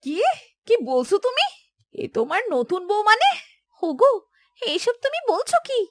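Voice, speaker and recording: A woman voicing an indignant, quarrelsome village wife in a cartoon fairy tale, with a shrill, scolding, dramatic delivery. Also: big pitch swings, normal speed, a bit bright, dry recording, clean studio sound, full character voice. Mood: shocked, furious.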